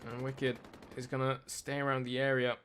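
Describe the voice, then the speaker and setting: A man commentating a competitive shooter match play by play, tired and a little raspy late at night. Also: medium pitch, flat delivery, normal speed, fairly bright tone, a little hiss or hum. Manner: self-deprecating, talks through tech trouble. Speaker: esports caster.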